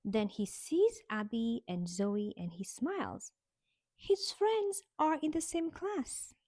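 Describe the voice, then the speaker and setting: A woman reading a children's storybook aloud with expressive, sing-song delivery aimed at young children. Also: a little high, slow pace. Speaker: storybook reader.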